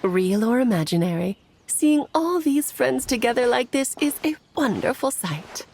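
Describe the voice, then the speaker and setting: A playful, scheming witch mother with a fairly high, very animated voice that often drops into creak. She speaks eloquently and teasingly, in polished game-cutscene style with soft music behind. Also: moderate pace, slight background noise. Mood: amused, gracious. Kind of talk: video game cutscene acting.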